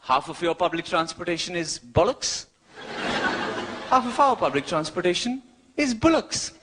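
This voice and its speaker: A male stand-up comedian with an Indian accent and a high, very animated voice. He delivers his routine in a wry, cheeky way, leaving pauses for punchlines. The live stage recording has clear background noise. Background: audience laughter.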